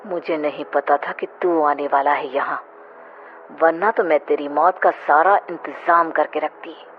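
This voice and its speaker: A woman's voice: low-pitched, fairly even intonation, a little slow, voice pushed down. Woman acting menacing vengeful ghost woman, muffled audio. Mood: cold menace. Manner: menacing, dramatic, theatrical. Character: vengeful female ghost, murdered bride craving a husband. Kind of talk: horror cartoon voice acting. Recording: muffled audio with loud music bed.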